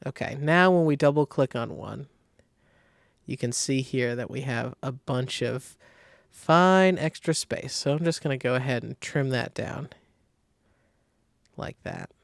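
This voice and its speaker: A man with a noticeably creaky, gravelly voice walks through a design tutorial in an informal way. He thinks aloud as he works, in an easy conversational style. The sound is close and dry, with very little room around it. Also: medium pitch, unhurried, long pauses, no echo. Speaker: design software tutorial host. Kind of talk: casual screen-recorded tutorial.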